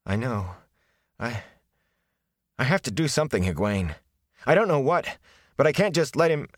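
A man plays a desperate young villager, pitched higher than the narration and pleading hard, his voice near breaking. It is a dry, close storybook reading. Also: expressive, moderate pace, no room sound, natural voice. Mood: choked.

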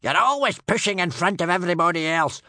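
Rowdy, high-pitched Viking hooligans. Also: male voice, expressive, fast, dry recording, studio quality. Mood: angry. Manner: yelling, roaring.

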